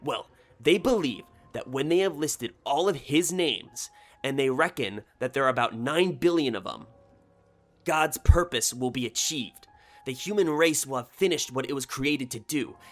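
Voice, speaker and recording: A man voicing an anxious, excitable male engineer in a story, speaking in an agitated, emphatic way. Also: medium pitch, moderate pace, voice pushed up, lightly acted. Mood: excited. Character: anxious, excitable younger male engineer breaking urgent news. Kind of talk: short story character dialogue.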